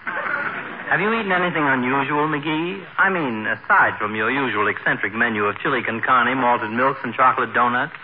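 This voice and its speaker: An actor playing a sarcastic, teasing family doctor in a 1940s radio comedy. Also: male voice, mid-range voice, moderate pace, phone-quality sound, natural voice. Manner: sardonic, wisecracking. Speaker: doctor character. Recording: crackly thin old radio broadcast.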